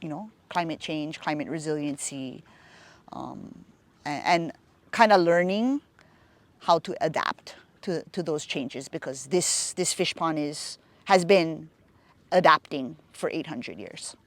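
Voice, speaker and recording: Unhurried Hawaiian woman, slow talker. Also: sits low, no room sound. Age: middle-aged. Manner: unhurried, pausing often with fillers, warm laughs. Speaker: fishpond nonprofit director. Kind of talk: reflective interview talk.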